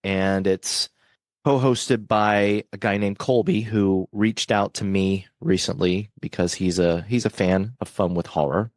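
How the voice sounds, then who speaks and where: A man's voice: on the low side, fairly even intonation, unhurried, no room sound. A man co-hosting a horror movie podcast in casual chat, joking and laughing often. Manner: jokey, laughs often, upbeat plugs. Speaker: podcast co-host.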